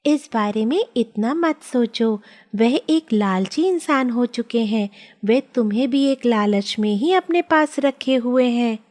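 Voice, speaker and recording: A woman's voice: on the high side, expressive, a little slow, darkish tone. A woman voicing a kind, worried wife with a conscience in a cartoon story, earnest and admonishing. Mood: urging. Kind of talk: children's cartoon story dialogue.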